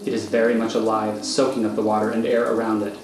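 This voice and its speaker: A man narrating scripted stage directions for a radio thriller in a measured, matter-of-fact manner. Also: fairly low pitch, monotone. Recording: echoey room, faint noise.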